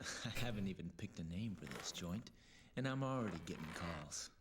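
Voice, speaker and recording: An actor playing a cocky young demon hunter in a video game cutscene, nonchalant and wisecracking. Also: male voice, low voice, expressive, normal speed, background noise. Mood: flippant. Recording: quiet game audio under music. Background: music.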